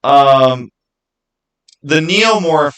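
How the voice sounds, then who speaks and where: A man hosting a livestream, chatting casually with viewers in a halting way, often repeating words and phrases as he goes. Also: fairly high pitch, expressive, fairly bright tone, low-quality recording. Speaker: livestream host.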